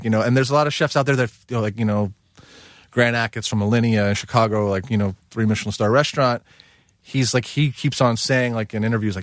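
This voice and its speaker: Male chef, lower register, rambling casual talker, dry close mic. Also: no room sound. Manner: rambling, full of "like" and "you know". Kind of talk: casual chat.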